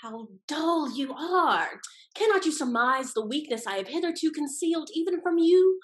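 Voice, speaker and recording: A woman acts a haughty lady schemer from a period comedy. Her voice sits high and swoops widely. She speaks with arch, theatrical relish, drawing out her emphasis. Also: expressive, normal speed. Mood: dramatic confession. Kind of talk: period stage comedy acting. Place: indoor room, performing on video call. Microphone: video call.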